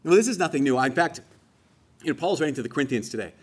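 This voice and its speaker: Guy preaching a homily, kinda husky, chatty but punchy on the big lines. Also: a little high, expressive, very fast. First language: English. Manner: conversational, emphatic, rising on key phrases.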